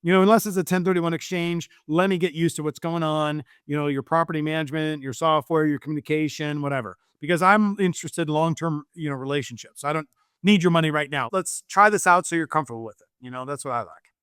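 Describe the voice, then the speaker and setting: Male real estate investor as podcast guest: high voice, dry sound, conversational storyteller who punctuates points with check-in questions. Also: fast, a bit bright, clear, resonant tone, no room sound. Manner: anecdotal, checks in often with "right?" and "okay?". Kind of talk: casual conversational interview talk.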